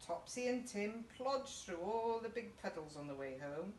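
A woman's voice: mid-range voice, animated, moderate pace, a little mellow. A middle-aged British woman reading a picture book aloud to young children, often putting questions to them. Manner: child-directed, asking listeners questions. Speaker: story time host reading to children. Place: small indoor youth group playroom. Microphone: camera a couple of metres away. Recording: echoey room, distant mic, faint background noise.

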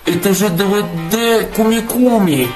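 A performer voicing an excitable, money-hungry young red dragon in an amateur cartoon, with simple declarative delivery. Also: mid-range voice, a little slow, close to an everyday voice. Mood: eager. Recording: music behind the voice.

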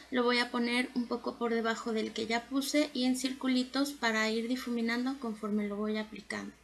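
A woman, giving a casual, instructive makeup tutorial as a beauty vlogger. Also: on the high side. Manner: chatty, instructive. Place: bedroom at home.

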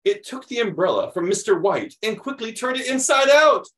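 A middle-aged American man reads a picture book aloud to young children, animated and expressive, adding chatty explanations. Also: high-pitched, normal speed. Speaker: children's read-aloud host.

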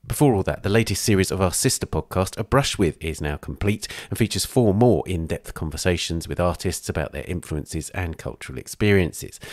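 A man hosting a podcast, reading a scripted introduction in a smooth, even delivery. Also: sits low, dry recording, studio quality. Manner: smooth, read-aloud delivery. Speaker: podcast host.

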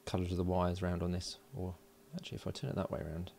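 A man casually talking through hands-on electronics work, unhurried and thinking aloud. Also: low-pitched, completely flat delivery, creaky, darkish tone, slight background noise. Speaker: host of an electronics build video. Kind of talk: casual hands-on tutorial narration. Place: indoors at a workbench.

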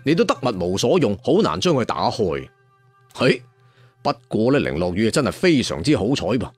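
A man's voice: mid-range voice, dry recording. A man narrating a fantasy tale in a colloquial, dramatic storyteller style with chatty asides. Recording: music behind the voice. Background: background music.

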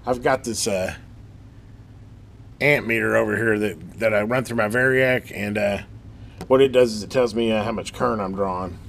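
A man's voice: on the low side, moderate pace, gravelly, a bit bright. A middle-aged man giving casual hands-on repair commentary in a folksy, rambling way, as if thinking aloud. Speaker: host of a radio repair channel. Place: workshop in a metal building. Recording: background noise in a workshop.

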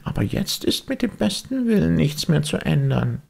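Casting: naive puppet boy for storybook, very high thin voice, earnest, plaintive. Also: sing-song, normal speed, vocal fry, a little mellow, heavily acted. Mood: remorseful, plaintive.

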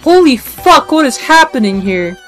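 Animated, excitable young-sounding woman gamer. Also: high voice, moderate pace. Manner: excitable, slangy. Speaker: game player. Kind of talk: casual game stream chat. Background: game music.

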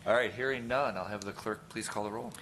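A man chairing a city council meeting, brisk and procedural. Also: lowish voice, fairly bright tone, a little hiss or hum. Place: council chamber.

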